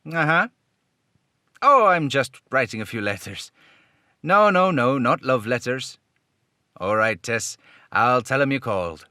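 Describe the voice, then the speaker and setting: A man's voice: medium pitch, lively intonation, unhurried, pauses often, pushed and bright, dry recording, studio quality. A man voicing a nervous young woman secretary in an old-time radio play, flustered and stammering. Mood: chatty.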